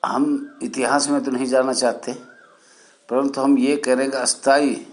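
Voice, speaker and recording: A man from India hosting a channel, explaining history in a deliberate, halting way with short phrases and formal honorifics. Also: medium pitch, little pitch movement. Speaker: channel host. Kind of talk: spoken history explainer for viewers.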